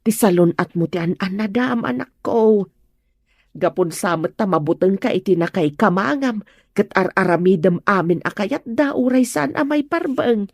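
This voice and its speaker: A woman's voice: medium pitch, animated, normal speed, no echo, clean studio sound. A woman playing a caring, fretting woman who pleads and scolds, in an Ilocano audio drama. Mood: worried, insistent.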